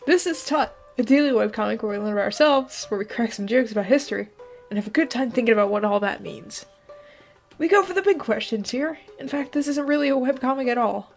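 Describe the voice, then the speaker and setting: Woman, comedic comic dub, high-ish voice, playful, quick skits, faint music underneath. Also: vocal fry. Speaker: fan dubber voicing a webcomic.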